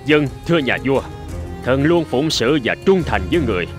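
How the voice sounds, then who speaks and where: A man's voice: medium pitch, animated, normal speed, over music, lightly acted. A man playing a humble, courteous wise man in a cartoon folk tale. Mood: deferential.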